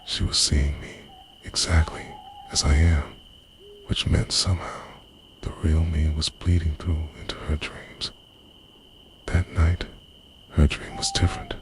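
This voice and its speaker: Very deep man, half-whispered storytelling. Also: level tone, unhurried, long pauses. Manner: breathy, half-whispered, intimate delivery. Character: lovesick obsessive young man confessing. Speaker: audio roleplay performer. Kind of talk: scripted first-person ASMR story narration.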